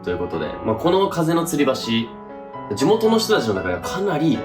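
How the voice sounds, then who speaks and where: A man's voice: medium pitch, huge pitch swings, moderate pace, music in the background. A man hosting a haunted-spot exploration, chatting casually as he presents.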